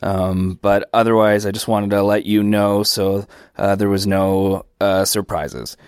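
Smooth-voiced man with a quick note. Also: on the low side, little pitch movement, no echo, clean studio sound. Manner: hesitant, many ums and uhs. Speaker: podcast host. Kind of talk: short spoken note before the episode.

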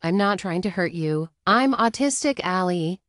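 A woman's voice: on the low side, lively intonation, normal speed, no room sound, lightly acted. A woman dramatically reading the part of a woman standing up to a bully, firm and curt. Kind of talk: dramatic reading of a text exchange.

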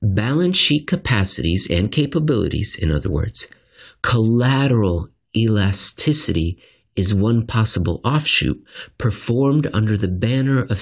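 A man narrating a written finance commentary in an expressive, scripted style with dramatic, deliberate phrasing. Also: lowish voice, slightly rough.

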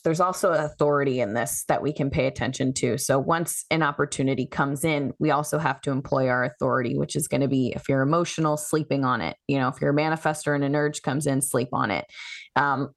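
Low, gravelly woman coaching. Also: fairly even intonation, brisk, dry recording, clean studio sound. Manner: explanatory, coaching tone. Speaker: human design coach, guest. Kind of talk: podcast interview.

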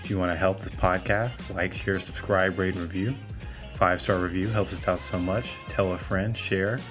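A man with a very low voice hosts a podcast with upbeat, promotional energy and keeps the show moving. Faint music runs underneath. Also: fairly even intonation, normal speed. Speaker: podcast host.

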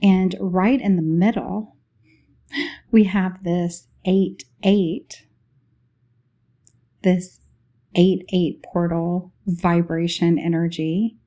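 A woman leading a guided spiritual meditation as host, speaking in short, unhurried phrases with long pauses. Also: on the low side, mellow.

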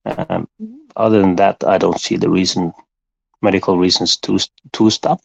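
A man in his forties, a guest on a podcast, speaking calmly and plainly, repeating words as he thinks. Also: deep voice, moderate pace, vocal fry, husky, no echo, dull audio. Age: 40s. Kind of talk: casual chat.

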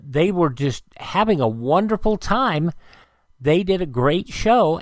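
A man's voice: fairly high pitch, dry recording. A man presenting a radio show in a folksy, rambling, conversational way from a home studio.

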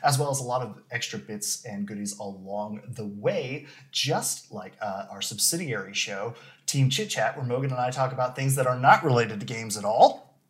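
Podcast host, mid-pitched and very animated, casual and jokey with a theatrical streak. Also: moderate pace. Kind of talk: casual live-stream hosting.

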